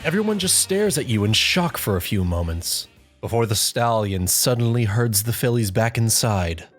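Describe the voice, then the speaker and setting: A woman's voice: rumbling low, lively intonation, moderate pace. A woman reading a story aloud as scripted second-person narration. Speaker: fan fiction reader. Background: faint music.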